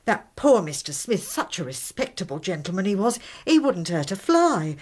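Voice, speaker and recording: An actress playing a respectful, chatty English housekeeper in a radio drama, deferential yet emphatic. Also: female voice, mid-range voice, big pitch swings, moderate pace, a little mellow. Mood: indignant, respectful.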